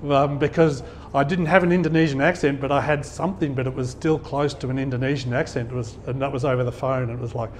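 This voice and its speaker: A man's voice: medium pitch, fairly even intonation, quick pace, few pauses, a little hiss or hum. A middle-aged man giving an informal, conversational talk to an audience in a function hall, telling anecdotes and chuckling at his own stories. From Australia. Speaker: guest speaker at a business networking event.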